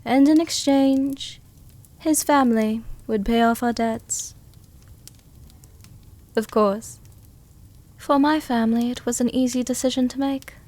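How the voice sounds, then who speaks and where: A woman playing a seductive, protective vampire countess in an intimate ASMR roleplay, speaking tenderly and teasingly with a soothing, soft-dominant manner. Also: a little high, steady tone, slow pace, pauses often. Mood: wistful, bitter. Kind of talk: intimate ASMR roleplay, scripted character acting.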